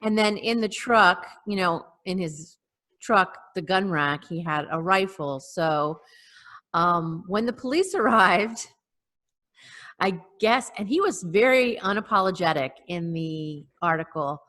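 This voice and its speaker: A woman co-hosting a casual chat on a video call, telling local news in a hesitant, pausing way. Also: fairly low pitch, lively intonation, fairly bright tone. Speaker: podcast co-host.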